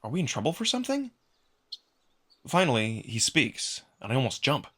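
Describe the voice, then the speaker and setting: A man's voice: mid-range voice, very animated, normal speed, fairly bright tone, slightly rough. A man giving a dramatic first-person narration of a visual novel, acting as narrator. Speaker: narrator and voice actor.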